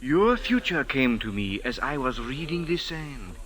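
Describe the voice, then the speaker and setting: An actor playing a humble, insistent Egyptian sand diviner in old-time radio drama, with a halting, incantatory delivery. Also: male voice, mid-range voice, very animated, a bit bright. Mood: mystical, coaxing. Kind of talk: 1940s-50s radio drama acting.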